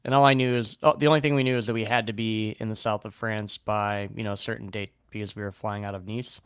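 A man's voice: fairly low pitch, steady tone, quick pace, smooth, no room sound, clean studio sound. A man hosting a podcast, telling rambling stories in casual chat with lots of fillers. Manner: rambling, filler-heavy storytelling. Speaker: podcast host.

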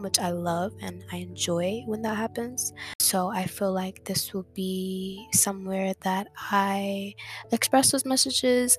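A young woman hosting her own podcast, talking in a soft, reflective way and repeating words for emphasis. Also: medium pitch. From Dominican family background. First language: English. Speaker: podcast host. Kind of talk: casual solo podcast monologue. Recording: music playing underneath.

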